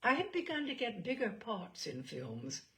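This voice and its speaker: A woman reading literary memoir aloud in a measured, theatrical storytelling manner. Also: medium pitch, big pitch swings, bright, forceful tone. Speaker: reader of literary selections.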